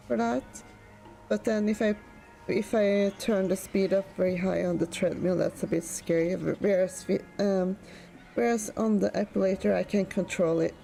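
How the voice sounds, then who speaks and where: A woman's voice: medium pitch, gravelly, warm tone. A woman with a Norwegian accent chatting casually, rambling with lots of fillers. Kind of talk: casual chat.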